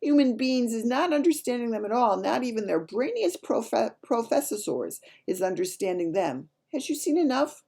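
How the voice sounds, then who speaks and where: A woman voicing a gentle, earnest male giant in a storybook, musing emphatically with folksy, muddled grammar. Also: fairly high pitch, big pitch swings, normal speed, vocal fry, few pauses, husky, pitched above the performer's own voice, heavily acted.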